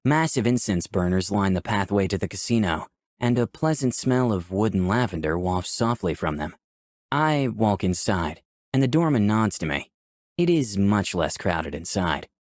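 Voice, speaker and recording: Man voicing cocky flirty sin of lust, low lively voice, musing first-person visual novel narration, dry close sound. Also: moderate pace, dry recording.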